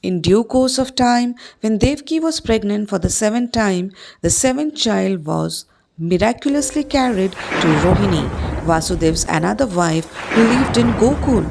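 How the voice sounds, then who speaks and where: Indian woman narrating with animated, swooping pitch. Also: moderate pace, creaky, low-quality recording. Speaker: narrator of a school play. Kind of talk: dramatic scripted storytelling. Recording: music behind the voice. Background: music.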